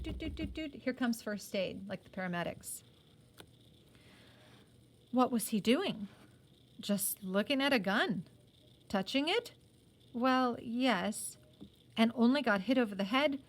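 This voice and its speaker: A woman with a fairly high voice reads a story aloud like a teacher. She is expressive with the narrative and slips into informal, playful asides to explain it.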